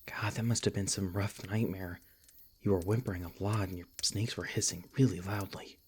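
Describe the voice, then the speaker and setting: A man playing a protective, tender monster hunter in an ASMR roleplay, speaking in a gentle, halting, earnest way with a husky, breathy voice. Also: sits low, steady tone, a little hiss or hum, close to an everyday voice. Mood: tender, concerned. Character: protective, tender male monster hunter comforting his beloved. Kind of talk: ASMR roleplay, soft intimate acting.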